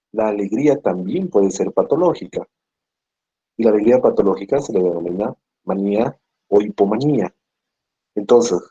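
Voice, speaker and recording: A man with a Peruvian Spanish accent lectures in a patient, explanatory way and checks in with tag questions. His voice sits low, mellow and a little husky. The recording is dull and lo-fi. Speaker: psychiatry lecturer. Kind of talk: university lecture. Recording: muffled lo-fi classroom recording.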